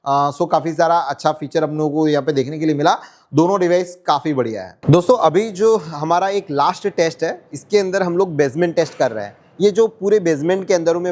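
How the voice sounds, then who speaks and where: A man's voice: on the high side, a little mellow. A man hosting a product test vlog, explaining to viewers as friends and mixing in English words. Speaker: host testing walkie-talkie handsets.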